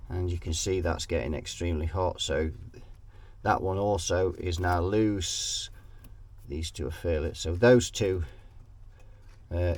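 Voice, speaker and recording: A man's voice: sits low, darkish tone. A man with a head cold, sounding nasal and sniffly, talking casually through an amp repair at his workbench and often trailing off mid-thought. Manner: thinking aloud, trailing off and restarting. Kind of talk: casual workshop repair walkthrough.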